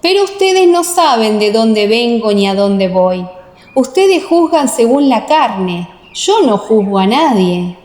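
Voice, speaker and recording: A woman's voice: a little high, big pitch swings, slow pace, a little mellow. A woman voicing Jesus as a solemn, authoritative teacher in an audiobook radio play. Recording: echoey, long reverb.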